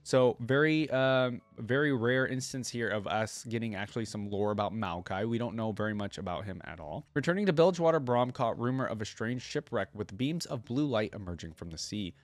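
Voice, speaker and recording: A man's voice: on the low side, expressive, a little fast, hardly any pauses, dry recording. A man hosting a casual reaction video, commenting conversationally and thinking aloud between bits of reading. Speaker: YouTube reaction host.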